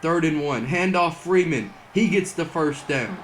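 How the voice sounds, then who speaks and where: A young man doing excited mock broadcast commentary over a football video game, saying he is losing his voice. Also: fairly high pitch, measured pace, a little hiss or hum. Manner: excitable play-by-play, rising to near-shouts on big plays. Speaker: gaming channel host doing play-by-play.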